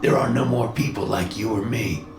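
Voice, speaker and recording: A man's voice: on the low side, moderate pace, darkish tone, voice pushed up, natural voice. Man playing a teary middle-aged dad, raw and strained, roomy sound, faint music. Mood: stern. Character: tearful middle-aged father, fearful and controlling.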